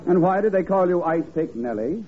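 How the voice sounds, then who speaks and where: An actor playing a bumbling, self-important detective in a 1950s radio comedy, formal and mock-solemn. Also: male voice, sits high, expressive, brisk, a loud crowd. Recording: crackly old radio broadcast, narrow band, studio audience.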